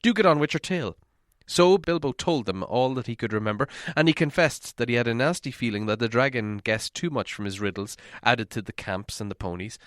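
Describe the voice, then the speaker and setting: A man narrates a storybook as an audiobook reader. His voice is mid-pitched and scripted in delivery. The sound is very dry, with little room on it. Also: a little fast, hardly any pauses, fairly bright tone, no echo.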